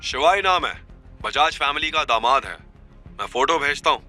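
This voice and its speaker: A man's voice: on the high side, animated, moderate pace, bright, forceful tone, soft background music, pitched above the performer's own voice. A man voicing a scheming, insistent young man in an audio drama. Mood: pleased. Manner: insistent, pushy.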